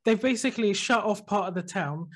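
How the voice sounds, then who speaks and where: A man's voice: sits high, a little fast, fairly bright tone. A man co-hosting a podcast, explaining things in casual chat and talking eagerly about cities. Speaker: podcast co-host.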